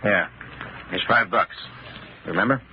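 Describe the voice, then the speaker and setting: A man's voice: fairly low pitch, unhurried, natural voice. An actor playing a shrewd, commanding man posing as a detective, confident and clipped, in an old-time radio drama. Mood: brisk. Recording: muffled, very noisy old radio recording.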